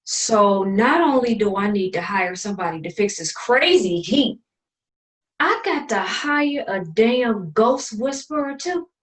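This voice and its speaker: An actress playing an exasperated, practical adult sister in a stage drama, sassy and emphatic, recorded in a room away from the mic. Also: female voice, medium pitch, lively intonation, measured pace, natural voice. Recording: roomy, distant from the mic.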